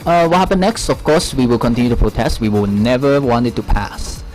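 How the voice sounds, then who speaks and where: A man from Hong Kong speaks as an interview guest in a medium-pitched voice. He explains things off the cuff, halting to find words and then pressing his point. Faint music runs underneath. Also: lively intonation, a little mellow, poor audio. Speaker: interview guest, protest supporter. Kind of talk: unscripted explanatory interview answers.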